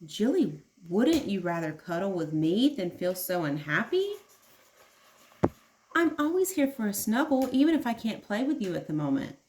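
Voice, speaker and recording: A woman's voice: medium pitch, sing-song, moderate pace, a little mellow, slight background noise, lightly acted, low-quality recording. A woman voicing a patient kangaroo father in a picture book, soothing and reasoning with his child.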